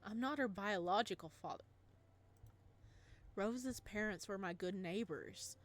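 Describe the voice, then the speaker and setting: A woman voicing a gentle adult male teacher and adoptive father in a visual novel, read softly and calmly. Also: mid-range voice, measured pace, lightly acted.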